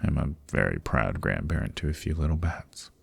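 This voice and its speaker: A man playing a courteous, chatty old vampire in an ASMR roleplay, speaking in genteel, old-fashioned phrases with a deep, gravelly, husky voice. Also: big pitch swings, normal speed, dry recording, heavily acted. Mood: amused, chatty. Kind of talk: ASMR roleplay, interview monologue. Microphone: close microphone, dry.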